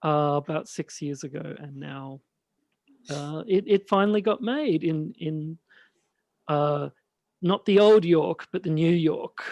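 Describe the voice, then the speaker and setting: Casting: male podcast host with a light, high-pitched voice, slow and halting chat, recorded dry. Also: vocal fry, a little mellow, no echo. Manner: hesitant, halting delivery. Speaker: host and radio play director.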